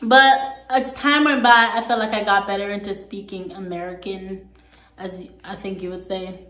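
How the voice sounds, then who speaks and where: A young woman with a faint Jamaican accent chats casually to her camera, throwing in sassy asides. Her voice sits at an ordinary middle pitch. The small room at home adds a noticeable echo. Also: steady tone, normal speed, a bit bright. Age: young adult. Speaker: vlogger. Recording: echoey room.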